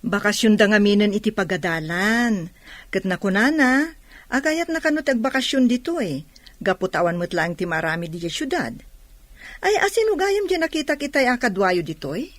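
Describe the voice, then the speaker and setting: A woman's voice: medium pitch, big pitch swings, normal speed, dry recording, lightly acted. A woman playing a caring, indulgent mother in an audio drama. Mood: sociable, curious.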